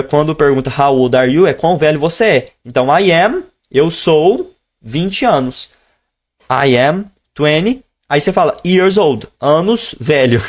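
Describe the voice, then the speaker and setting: A man with a somewhat high voice teaches a live online lesson over a computer mic. He explains in a relaxed, chatty way, then draws out each example phrase slowly and clearly. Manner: switches between teaching tone and slow, drawn-out modeled phrases. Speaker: English teacher.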